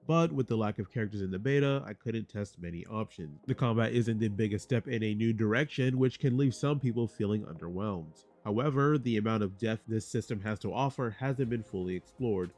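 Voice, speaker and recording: A man reading scripted, explanatory narration for a game review in a measured way. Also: lowish voice, darkish tone, soft background music.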